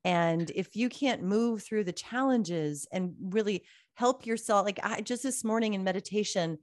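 A woman hosting a podcast interview, chatting casually with many fillers and drawn-out words. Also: medium pitch, no room sound, studio quality. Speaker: podcast host.

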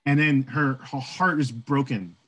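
Male podcast co-host, mid-pitched, informal and conversational, chatting through stories and history. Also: measured pace, a bit bright, slightly rough. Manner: informal, peppered with "like" and "right?". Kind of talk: casual conversational discussion of folklore and history.

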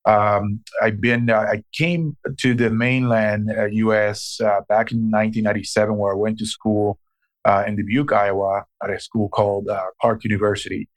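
Middle-aged guy from puerto rico, low monotone voice, lots of ums and restarts. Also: moderate pace. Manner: hesitant, many fillers and restarts. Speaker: guest, marketing director. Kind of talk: interview answers.

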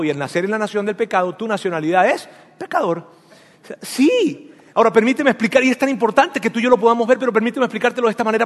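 A man's voice: high voice, long reverb tail. A man from Venezuela, preaching a sermon with humorous stories and asking his audience questions.

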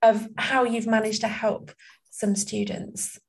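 A woman's voice: fairly high pitch, level tone, takes it slowly, vocal fry, breathy edge. A woman hosting a podcast interview, encouraging and affirming, asking open questions. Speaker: podcast host.

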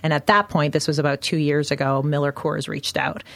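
A woman's voice: deep voice, flat delivery, a little fast, vocal fry, dry recording. A woman in her late thirties with a Canadian accent, chatting upbeat as an interview guest with plenty of "um"s and "you know"s. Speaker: guest, innovation executive. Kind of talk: casual podcast interview.

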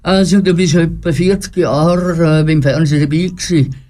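A man's voice: fairly high pitch, fairly even intonation, moderate pace, darkish tone. A man who hosts a radio talk show, asking questions in a studio and encouraging his guest with short acknowledgements. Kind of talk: conversational interview.

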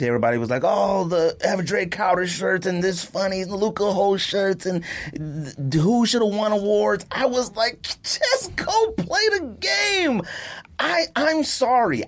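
An American man hosting a podcast, talking sports casually in an animated, emphatic, exasperated rant with a husky voice. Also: sits high, a little slow. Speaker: sports and sneaker podcast host.

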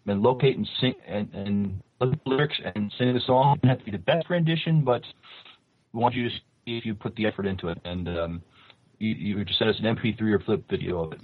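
A man co-hosting a podcast over an internet call, speaking casually and a bit haltingly. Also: fairly low pitch, dry recording. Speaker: podcast co-host. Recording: tinny phone-like internet call.

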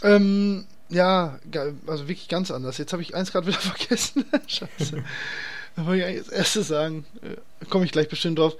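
A man's voice: fairly high pitch, moderate pace. A man hosting a casual podcast chat, talking in an enthusiastic, slangy and emphatic way. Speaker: podcast host.

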